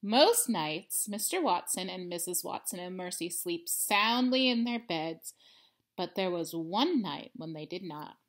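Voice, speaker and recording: Female storybook reader, thirties, expressive read-aloud with highly varied intonation and dramatic emphasis. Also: measured pace. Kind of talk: animated children's read-aloud. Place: indoors, living room at home.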